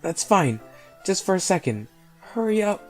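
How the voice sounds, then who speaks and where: A man's voice: high voice, big pitch swings, unhurried, pitched above the performer's own voice. A man voicing a short, stubborn, hot-tempered schoolgirl in a video game, snappy and indignant. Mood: bossy, impatient. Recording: voice over game music.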